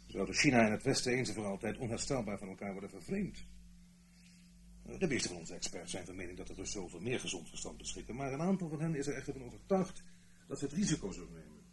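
Actor as earnest intelligence officer, noisy recording. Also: male voice, lowish voice, lively intonation, lightly acted. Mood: grave, emphatic. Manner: explanatory, formal, measured. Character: earnest British intelligence officer explaining a threat, male. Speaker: intelligence briefer. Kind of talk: Dutch radio play acting.

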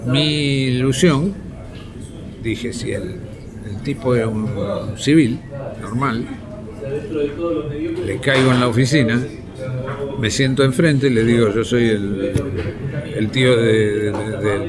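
An Argentine-accented man giving spontaneous testimony, halting and colloquial as he recounts memories. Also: mid-range voice. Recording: very loud crowd chatter behind.

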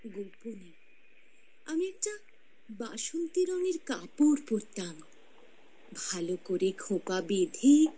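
A woman's voice: fairly high pitch, dark tone, slightly rough, full character voice, low-quality recording. An actress playing the ghost of a proud young woman, telling her life story in a radio drama with intimate, teasing narration. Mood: hushed, dreamy.

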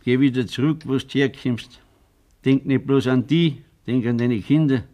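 A man's voice: medium pitch, moderate pace, mellow, lightly acted. An actor playing a stern, blunt old farmer father with a Bavarian accent in a German radio play. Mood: gruff, scolding. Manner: scolding, blunt, rustic.

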